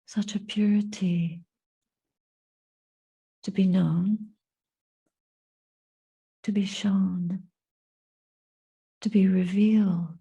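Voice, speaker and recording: A woman giving a guided meditation and reflection as a Buddhist teacher, speaking softly and breathily in measured, contemplative phrases with long pauses. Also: medium pitch, fairly even intonation, low-quality recording. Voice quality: soft, breathy.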